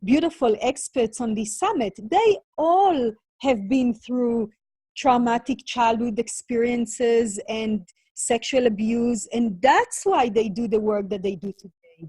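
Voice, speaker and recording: A woman from Latvia who grew up in Israel speaks slowly and calmly, with a slightly high, husky voice. She hosts a spiritual conversation in a measured, affirming way. The sound is dry and close, as on a video call. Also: no room sound. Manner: calm, measured, warm affirmations.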